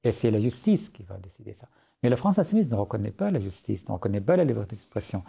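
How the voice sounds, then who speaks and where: A man from Syria talks in French with an Arabic accent, his voice low, dark and gravelly with a husky edge. He speaks earnestly as an interview guest, often pausing on fillers and restarting his sentences. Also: very animated. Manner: earnest, frequent hesitations and restarts. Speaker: guest author. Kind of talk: podcast interview.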